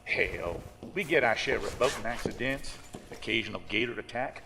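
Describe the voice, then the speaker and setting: A man playing a gruff, skeptical small-town sheriff in an audio horror drama, talking in a folksy, sarcastic, mocking way. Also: medium pitch, normal speed, big-room sound, background noise, natural voice. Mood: grim.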